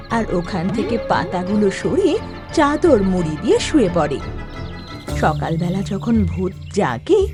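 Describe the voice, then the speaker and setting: A woman narrating a scripted cartoon story as its storyteller. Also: medium pitch. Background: soft background music.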